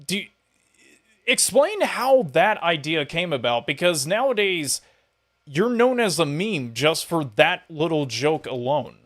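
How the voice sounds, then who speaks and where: A man's voice: a little high, lively intonation, measured pace, a bit bright. A man hosting a casual interview, talking informally and enthusiastically to his guest. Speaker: podcast host interviewing a guest.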